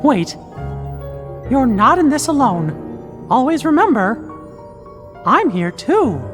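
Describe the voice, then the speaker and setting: A man voicing a small, loyal cartoon mouse king in a video game, earnest and encouraging in a squeaky falsetto. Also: very animated, takes it slowly, fairly bright tone, full character voice. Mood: reassuring. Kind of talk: animated video game cutscene acting. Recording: long cavernous reverb. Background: orchestral game music.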